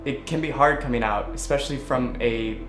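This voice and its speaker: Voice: medium pitch, a little fast, natural voice. An actor playing a hesitant, conciliatory adult in a short film family drama. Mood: gentle. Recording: echoey film audio, music underneath.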